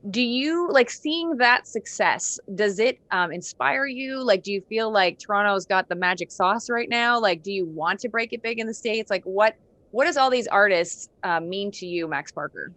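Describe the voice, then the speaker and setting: A woman's voice: mid-range voice, expressive, normal speed, fairly bright tone, no room sound. A woman with a Canadian accent hosting a conversational radio interview in a chatty, effusive way. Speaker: radio show host.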